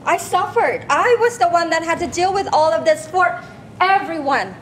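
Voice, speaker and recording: A woman's voice: extremely high pitch, animated, normal speed. A woman with as her playing a bitter, scolding refugee mother in a staged play reading, emphatic and exasperated. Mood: bitter, hurt. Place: large glass-walled hall, on stage. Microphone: handheld microphone through PA speaker. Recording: noisy live stage PA recording.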